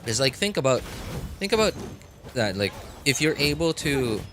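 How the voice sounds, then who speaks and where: A man livestreaming a game, rambling casually and thoughtfully, often halting and repeating his phrases as he works out a thought. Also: mid-range voice, big pitch swings, creaky, noisy background. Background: background music.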